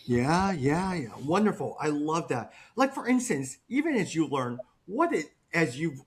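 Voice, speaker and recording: A man's voice: fairly high pitch, very animated, a little slow, slight background noise. A middle-aged man hosting an interview, enthusiastic and a bit rambling, often restarting his questions. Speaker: podcast host. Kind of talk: conversational interview.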